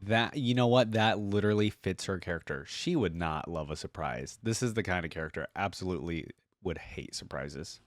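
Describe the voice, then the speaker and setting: A man's voice: sits low, normal speed, few pauses, dry recording, clean studio sound. A young adult man giving casual reaction commentary, musing aloud in an informal way. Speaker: reaction video host.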